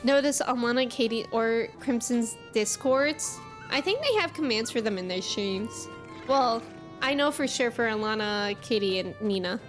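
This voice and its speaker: A woman's voice: a little high, expressive, normal speed, faint music behind. A woman hosting a game livestream, chatting casually in a playful, giggly manner. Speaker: game streamer and host.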